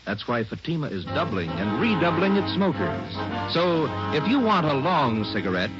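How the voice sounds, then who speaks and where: Lively, high-pitched American radio pitchman. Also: moderate pace. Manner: emphatic, salesmanlike. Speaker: commercial announcer. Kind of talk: 1940s radio advertising pitch. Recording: muffled old radio, music behind.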